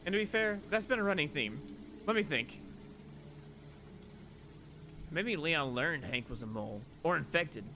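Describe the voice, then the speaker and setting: A man's voice: fairly high pitch, animated, fairly quick, a little hiss or hum. A man voicing a sardonic, exasperated young woman in a visual novel, dry and skeptical as she talks with her own mind. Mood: puzzled, wry. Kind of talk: visual novel character read-aloud. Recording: loud music behind. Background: loud music.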